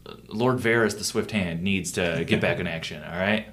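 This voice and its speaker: A man's voice: low-pitched, normal speed, fairly bright tone. A man co-hosting a podcast in casual chat, upbeat and joking, hyping up his co-host.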